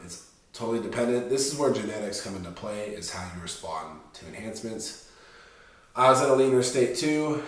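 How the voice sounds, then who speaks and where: A man in his twenties, stuffy and nasal from a sinus infection, talking casually to his viewers in a laid-back, rambling way with lots of ums. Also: lowish voice. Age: 20s. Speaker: bodybuilding vlogger. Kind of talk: casual talk to camera. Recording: very echoey room, mic at a distance.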